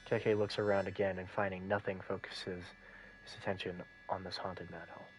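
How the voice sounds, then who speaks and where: Deep-voiced young man narrating a game. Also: flat delivery, fairly quick, slight background noise, low-quality recording. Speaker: streamer. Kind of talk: reading game text aloud.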